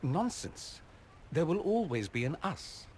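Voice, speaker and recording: A man's voice: a little high, big pitch swings, unhurried, darkish tone, a little hiss or hum. A man playing a witty, theatrical mage ambassador in a video game, arch, eloquent and affectionate. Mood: tender teasing. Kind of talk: video game cutscene acting.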